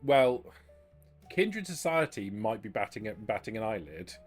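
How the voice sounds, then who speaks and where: A man's voice: on the low side, sing-song, a little slow, soft background music. A man working as game master, improvising narration and talking players through a tabletop game.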